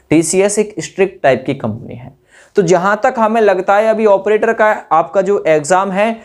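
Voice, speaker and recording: A man's voice: high voice, a little mellow. A man presenting an exam update to students informally, addressing listeners directly, repeating phrases and adding tag questions.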